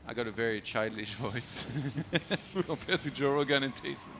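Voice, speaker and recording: A man's voice: mid-range voice, normal speed, background noise. A man commentating on an esports tournament in a casual, joking, self-deprecating way. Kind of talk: casual banter during StarCraft tournament commentary. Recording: music behind the voice. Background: music.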